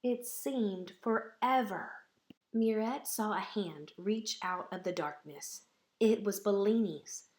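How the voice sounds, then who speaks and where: Woman, children's book read-aloud, slow tempo, mid-range pitch, expressive deliberate storytelling. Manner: measured, deliberate, dramatic phrasing.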